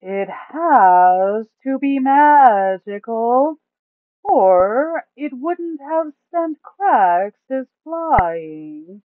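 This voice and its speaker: A greedy, nonchalant fantasy treasure hunter from a tabletop adventure tale, played by a woman. The voice is pitched up and loud, with words drawn out slowly and bluntly. The sound is thin, like a phone line. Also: animated, no echo, heavily acted. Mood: matter-of-fact. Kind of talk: acted fantasy story reading.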